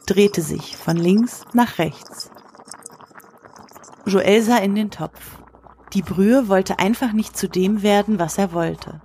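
A woman with a husky voice reads scripted literary narration for an audio drama. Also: mid-range voice, darkish tone.

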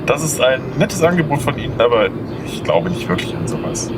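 A man's voice: mid-range voice, sing-song, fairly quick, very noisy, natural voice. A man acting a polite, slightly flustered young lawyer in an audio drama. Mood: sceptical, polite.

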